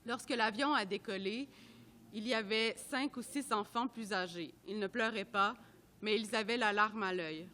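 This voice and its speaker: A woman reading a report aloud at a public event, in a measured, careful way that sometimes trips over names. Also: medium pitch, pushed and bright, slight background noise. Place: art gallery at a live event.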